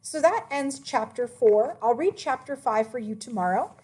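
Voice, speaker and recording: A woman reading a children's biography aloud as a narrator, with expressive, emphatic phrasing. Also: on the high side, normal speed, a little hiss or hum.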